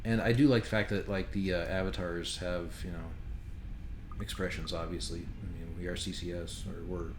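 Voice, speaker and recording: A man's voice: low-pitched, steady tone, moderate pace, background noise. A man giving casual, sweary, sardonic game commentary on a home stream. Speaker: game developer streaming a playthrough.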